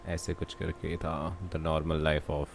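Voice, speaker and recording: A man's voice: rumbling low, completely flat delivery, very fast, vocal fry, faint music behind. A man with an Indian accent chatting casually as he livestreams a game, with faint background noise.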